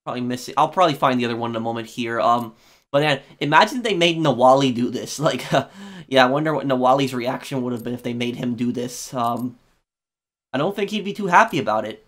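A man's voice: medium pitch, animated, quick pace, fairly bright tone. A man giving casual let's play commentary, hesitantly thinking aloud as he goes. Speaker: gaming YouTuber.

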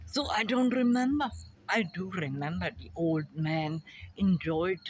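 An older woman with a German accent, musing slowly to a phone camera in her backyard about memory and family. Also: on the low side, slight background noise. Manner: slow, musing, drifting between thoughts. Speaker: vlogger. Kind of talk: rambling personal monologue to camera. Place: backyard outdoors by a fence. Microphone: phone camera at arm's length. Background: crickets and insects.

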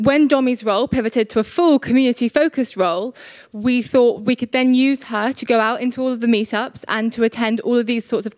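A young woman presenting a prepared conference talk on stage, steady and polished. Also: fairly high pitch, no room sound. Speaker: conference speaker.

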